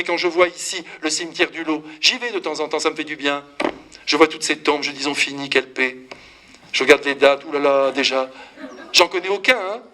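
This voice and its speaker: Prêtre de 50 ans qui prêche, plein d'entrain, taquine les gens, voix haute et vive. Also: male voice, fairly high pitch. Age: 50s. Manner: lively, teasing, talks straight to the congregation. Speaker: priest. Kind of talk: sermon.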